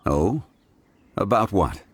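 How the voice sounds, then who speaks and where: An actor playing a calm, wistful ancient god of contracts in a video game, speaking in a measured, formal way. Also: male voice, on the low side, very noisy. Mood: composed. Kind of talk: dramatic video game dialogue.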